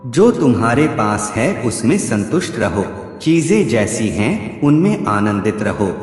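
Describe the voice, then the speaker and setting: A male narrator reads motivational sayings in measured short phrases. His pitch moves in lively, expressive swings. The voice rings with a long, hall-like echo, and music plays behind it. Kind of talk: scripted motivational quote narration. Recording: echoey hall reverb with background music.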